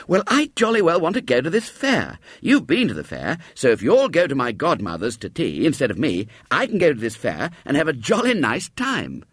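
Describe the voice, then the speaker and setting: A man voicing an eager schoolboy who coaxes and pleads, in a husky voice. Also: on the high side, huge pitch swings, moderate pace, hardly any pauses, no echo, studio quality, pitched above the performer's own voice, heavily acted. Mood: pleading.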